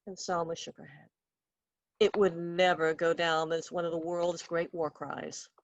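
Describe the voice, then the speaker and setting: A woman reading her own short story aloud in an expressive, wry and dramatic storytelling manner. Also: lowish voice, a little slow, no room sound, lo-fi sound.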